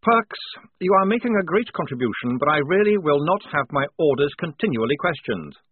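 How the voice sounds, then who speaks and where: A man's voice: a little high, sing-song, a little fast, slightly rough, no room sound, narrow, tinny audio, pitched above the performer's own voice. A man voicing a nice but worried army officer who is prim, polite and pompous, trying to sound firm. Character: nice, worried young male army officer feigning firmness.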